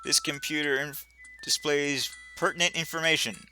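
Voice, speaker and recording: A man's voice: fairly high pitch, steady tone, normal speed, sharp tone, faint music behind. A man casually commenting as he plays a game, joking at himself and tripping over words. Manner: joking, self-mocking, trips over words. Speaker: let's play gamer.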